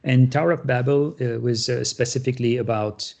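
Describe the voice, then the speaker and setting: A middle-aged man, a guest artist explaining his work on a panel, halting with many fillers and restarts. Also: medium pitch, gravelly, a little mellow. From Syria. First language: Armenian. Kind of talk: panel talk about his artwork.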